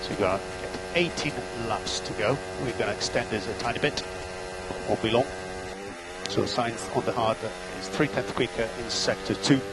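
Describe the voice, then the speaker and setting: A man working as a race engineer, giving clipped, steady calls of gaps, lap times and instructions over team radio. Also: medium pitch, normal speed, very noisy.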